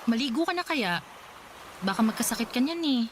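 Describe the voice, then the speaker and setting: A woman's voice: fairly high pitch, animated, brisk, slight background noise, natural voice. A woman playing an affectionate, teasing young wife in a radio drama. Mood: caring.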